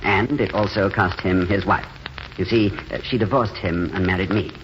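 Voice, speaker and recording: An actor playing a gentle, world-weary failed writer in a 1940s radio drama, courteous, hesitant and self-mocking. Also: male voice, low voice, normal speed. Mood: wry, self-mocking. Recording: muffled, noisy 1940s radio broadcast.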